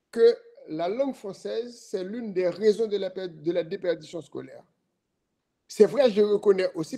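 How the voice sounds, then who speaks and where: High, mellow professor. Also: male voice. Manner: deliberate, questioning, professorial. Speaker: thesis jury member. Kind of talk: academic remarks at a thesis defense.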